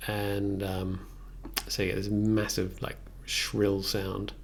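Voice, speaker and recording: Low, gravelly man thinking aloud. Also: completely flat delivery. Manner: halting, musing to himself. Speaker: live streamer demonstrating synthesizer software. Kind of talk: casual thinking-aloud tutorial stream. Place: indoor room at a computer desk. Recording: faint background noise.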